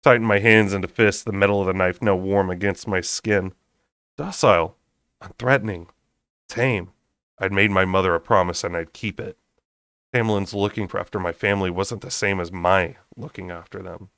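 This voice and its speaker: A young man narrating a fantasy story aloud with expressive, slightly unrehearsed delivery. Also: low-pitched, moderate pace, no echo. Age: young adult. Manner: unrehearsed, expressive, occasional stumbles. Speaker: amateur audiobook reader. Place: small bedroom, indoors.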